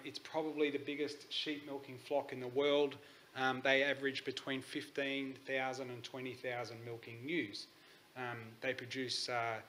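A man's voice: medium pitch, a bit bright, slight background noise. An Australian man giving a conference presentation, talking in a hesitant way with frequent ums. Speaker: conference speaker. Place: conference hall.

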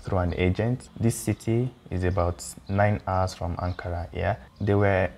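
Deep-voiced Nigerian man explaining calmly. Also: normal speed, a little hiss or hum. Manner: conversational, with fillers and reassuring asides. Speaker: YouTube educator for international students. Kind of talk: explainer video walking through slide topics. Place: indoor room with a pool table and windows.

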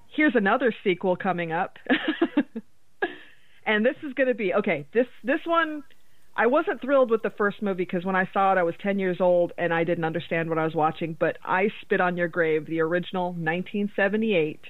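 A woman's voice: lowish voice, brisk. A woman co-hosting a podcast, chatting casually and informally about horror films. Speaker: podcast co-host. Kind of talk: casual horror film chat. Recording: tinny phone-like line, roomy echo.

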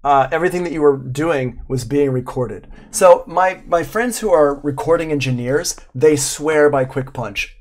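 A man in his forties giving a casual live tutorial as an instructor, explaining in an informal, enthusiastic way. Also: mid-range voice. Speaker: audio production instructor. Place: small room at home, indoors.

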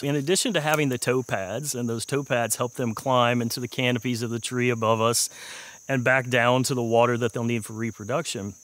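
Male researcher, forties, mid-range pitch, measured explanatory delivery for a nature documentary interview. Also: a bit bright. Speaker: wildlife researcher. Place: outdoors in woodland.

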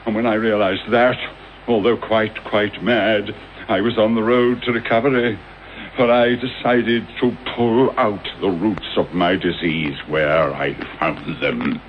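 An actor with a British accent playing a mad, vengeful, gloating villain in a theatrical, wordy radio drama style. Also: male voice, on the low side, fairly even intonation, measured pace, close to an everyday voice. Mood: mad, bitter. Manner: theatrical, sardonic, elaborately wordy. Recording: dull, noisy old radio broadcast recording.

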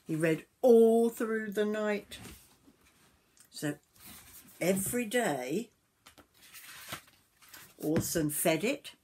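A woman in her sixties reading a picture book aloud to young children like a grandmother, expressively, with drawn-out words and little asides to the listener. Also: on the low side, a little hiss or hum. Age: 60s. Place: small wooden summerhouse.

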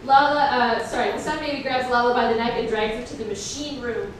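A woman with a somewhat high voice reads aloud at a live comedy show. She is conversational and wry, mocking the material as she goes. The sound is very echoey and distant, in a room with an audience. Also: fairly even intonation, brisk. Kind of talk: comedic reading of fan fiction with wry asides to an audience. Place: live stage reading before an audience.

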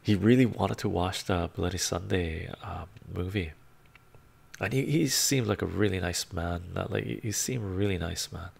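Gravelly deep-voiced man on a live stream. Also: moderate pace. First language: Romanian. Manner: rambling, chatty, with frequent "right?" asides. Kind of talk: casual live-stream chat, reading comments. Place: home room full of bookshelves. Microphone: lapel mic.

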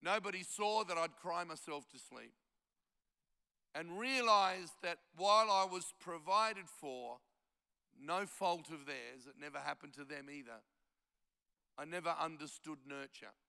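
A man's voice: a little high, measured pace, vocal fry, pushed and bright, clear, resonant tone, big-room sound. A man in his seventies, a pastor raised in Australia by Italian parents, preaching a conference sermon with self-deprecating stories and emphatic exhortation. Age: 70s.

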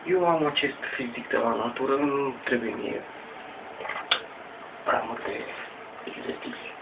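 A man in his thirties from Romania rambles haltingly through a casual, improvised exercise demonstration at home, swearing mildly. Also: medium pitch. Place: small room at home. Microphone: webcam mic at a distance. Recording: tinny noisy webcam audio, distant mic.

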